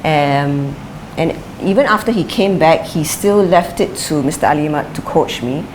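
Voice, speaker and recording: A middle-aged woman answering interview questions thoughtfully, pausing with fillers and restarting her sentences. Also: deep voice, lively intonation, rough recording. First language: English is her first language; Chinese is her second. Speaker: interviewee, former sprinter and coach. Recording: light background noise.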